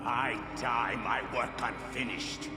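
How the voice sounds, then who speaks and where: A man's voice: medium pitch, steady tone, slow, reverberant, big-room sound, music in the background, lightly acted. An actor playing a menacing, fanatical male villain with grandiose delivery in a video game. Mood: fanatical fervour. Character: menacing male villain, fanatical zealot obsessed with divine power. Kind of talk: dramatic video game acting.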